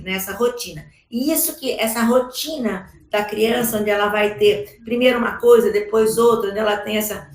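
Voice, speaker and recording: A woman's voice: a little high, fairly bright tone, roomy sound. A woman with a Brazilian Portuguese accent giving an explanatory conference talk about slides, often adding tag questions. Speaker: education speaker. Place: large conference hall.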